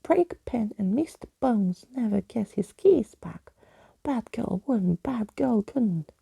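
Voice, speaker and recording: Woman voicing a whiny, high, wailing ghost. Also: expressive, slow pace, vocal fry, warm tone, husky, no echo, heavily acted. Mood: desperate. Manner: wailing, pleading, simple broken phrases. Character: whiny ghost writer, childish broken speech, greedy and desperate.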